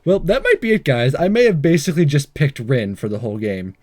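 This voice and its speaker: Lively, mellow-voiced man doing a playthrough. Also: a little high, a little fast. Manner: jokey asides, stumbles while reading. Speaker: host of a game playthrough. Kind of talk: reading visual novel text aloud with casual commentary.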